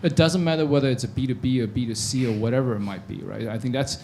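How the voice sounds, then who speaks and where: A man gives advice on a stage panel in a friendly, explanatory way, often ending points with "right?". His voice is at an ordinary middle pitch. A faint rumble sits behind him. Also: quick pace, gravelly, darkish tone. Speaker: panelist from enterprise tech.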